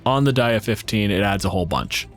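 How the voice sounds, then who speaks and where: A man's voice: fairly low pitch, very animated, fast talker. A man running a tabletop fantasy game, moving between dramatic descriptive narration and casual, sweary table talk. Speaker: game master. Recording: loud music bed behind voice. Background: background music.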